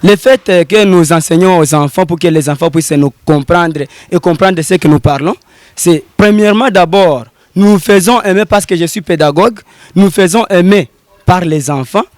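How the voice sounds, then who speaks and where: A man from Congo who teaches French, speaking slowly and hesitantly in an interview, often repeating words. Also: high voice, a little mellow, dry recording, clean studio sound. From Congo (DRC), Bukavu. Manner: halting, repeats words while searching for them. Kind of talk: on-camera interview.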